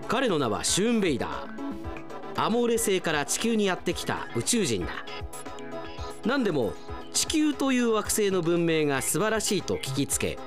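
Lively male radio announcer over music. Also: high voice. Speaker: radio announcer, host and drama narrator. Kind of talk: radio presenting and scripted narration. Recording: music bed behind the voice.

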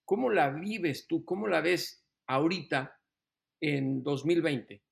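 Male interviewer, gravelly voice, slightly high pitch, long thoughtful questions. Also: lively intonation, slow pace.

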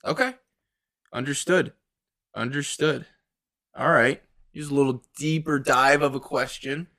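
A man's voice: medium pitch, a little slow, pushed and bright. A man with an American accent hosting a podcast and interviewing in a casual, chatty way.

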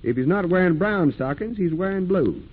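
A tinny old radio play recording with faint hiss. an arrogant, wealthy mill owner, clipped and demanding, his pitch swinging widely. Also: expressive, normal speed. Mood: brusque. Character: haughty, irascible wealthy mill owner and slaveholder. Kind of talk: 1940s radio acting.

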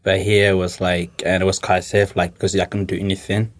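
A man's voice: deep voice, flat delivery, a little fast. A man, answering interview questions informally and hesitantly, often rephrasing as he goes. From Somalia. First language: Somali. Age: 20s. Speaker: interview guest.

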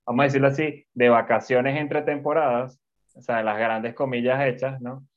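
A man's voice: medium pitch, fairly even intonation, normal speed. Venezuelan man, co-host, rambling chat, muffled video call audio. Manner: rambling, repeats words, laughs often.

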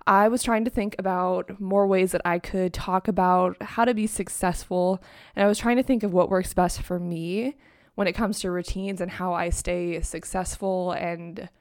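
A woman's voice: fairly low pitch, steady tone, normal speed, a little mellow, clear, resonant tone. A young woman hosting her own podcast in a chatty, upbeat way, peppered with "like", her voice still sleepy from the morning. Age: young adult. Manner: chatty, upbeat, heavy use of "like". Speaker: podcast host. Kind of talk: casual solo podcast talk. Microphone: own microphone and laptop.

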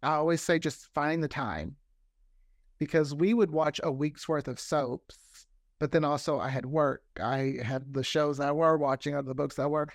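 A man's voice: a little high, fairly even intonation, normal speed, dry recording, clean studio sound. A talkative man chatting casually as an interview guest, often repeating words for emphasis. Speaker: interview guest, fiction podcast creator.

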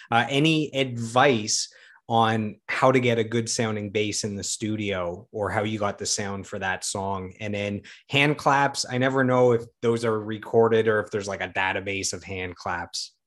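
A man's voice: on the low side, fairly bright tone. A man hosting an interview, curious and asking long, detailed questions. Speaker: podcast host.

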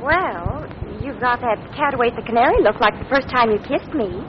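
Woman acting a lively, high-voiced teasing young sweetheart. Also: normal speed, natural voice. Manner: coy, sweetly mocking. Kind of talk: 1940s radio comedy acting. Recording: noisy, muffled 1940s radio recording with audience.

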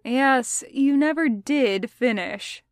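A woman's voice: high-pitched, slow, dry recording, clean studio sound, pitched above the performer's own voice. Woman playing a teasing, theatrical schoolboy. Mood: mock-weary. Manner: playful, dramatic ribbing.

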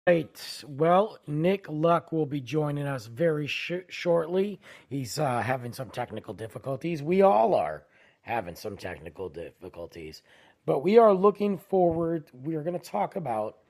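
A man hosting his own podcast in a casual, rambling way, tired and losing his voice, often trailing low at the ends of phrases. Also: lively intonation, unhurried, a little mellow. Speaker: podcast host. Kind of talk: casual solo podcast intro.

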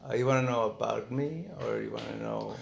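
Very gravelly middle-aged man, halting recollections. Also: on the low side, fairly even intonation, a little hiss or hum. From Mexico. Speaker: interviewee, former migrant worker. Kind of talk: reminiscing in a casual family interview.

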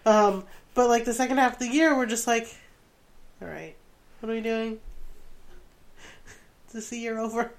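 A woman's voice: on the high side, measured pace, long pauses. A woman in her twenties, from Rhode Island, chatting casually as a podcast co-host, telling stories with lots of "like"s. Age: 20s. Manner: chatty, animated storytelling with laughs and "like"s. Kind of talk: casual chat.

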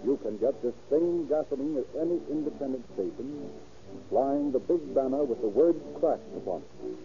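A man's voice: mid-range voice, sing-song, normal speed, mellow. A man announcing and narrating a scripted 1930s radio program in a formal, declamatory way. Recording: dull, lo-fi old radio recording.

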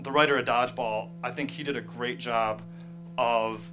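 Male writer, interview guest. Casual, reflective, halting delivery, average pitch, guitar music underneath. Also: fairly even intonation. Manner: halting, searching for words. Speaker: screenwriter being interviewed. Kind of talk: casual, thoughtful interview talk.

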